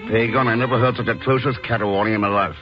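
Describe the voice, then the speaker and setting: A man plays a suave secret agent in a vintage radio spy drama. His voice is low and he delivers lines with dry, unflappable irony. The recording is somewhat muffled. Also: steady tone, racing, music in the background, natural voice. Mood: dry, weary. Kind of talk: 1950s radio drama acting.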